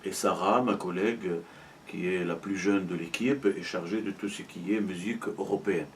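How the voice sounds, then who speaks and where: A middle-aged man from Morocco speaks in a lowish voice, explaining things patiently and often pausing to hesitate. He sounds a little distant from the microphone, and a faint ambient hiss runs underneath him. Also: steady tone. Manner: measured, explanatory, many hesitations. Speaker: university arts and culture officer. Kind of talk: interview answers.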